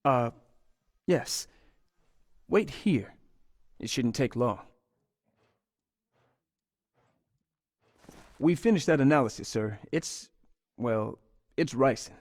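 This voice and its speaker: A man's voice: medium pitch, expressive, takes it slowly, long pauses, darkish tone, dry recording, studio quality. An actor playing an earnest university scientist in a video game, polite and a little hesitant as he explains things. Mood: grave. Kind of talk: video game dialogue acting.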